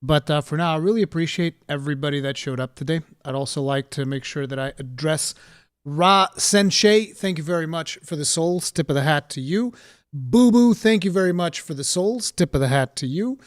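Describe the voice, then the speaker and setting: A young man from Portugal hosting a game livestream, talking casually and excitedly and thanking viewers as he goes. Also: a little high, a little fast, no room sound, clean studio sound. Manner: excited, chatty, thanks viewers with a set phrase. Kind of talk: casual live commentary.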